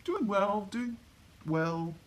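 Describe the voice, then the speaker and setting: A woman voicing a kindly, troubled king and father in measured, weighty storybook dialogue. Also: mid-range voice, sing-song, full character voice. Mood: concerned.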